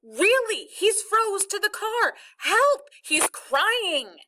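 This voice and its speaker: A woman voicing a frantic young boy in an audiobook, urgent and yelling. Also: very high voice, very animated, very slow, background noise, voice pushed up, full character voice. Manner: urgent, shouting. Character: frantic young boy calling his family for help.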